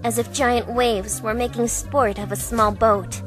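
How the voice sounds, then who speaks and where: A woman playing a protective young older-sister figure in a dramatic visual novel fandub, earnest and gently firm. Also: on the high side, flat delivery, a little slow, soft background music, lightly acted. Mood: tense narration.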